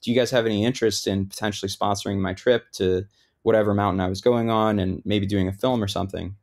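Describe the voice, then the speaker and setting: A man's voice: lowish voice, fast talker. A man of Lebanese origin, a thru-hiker guest, talking casually in an interview with many "like" fillers. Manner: relaxed, lots of "like" fillers.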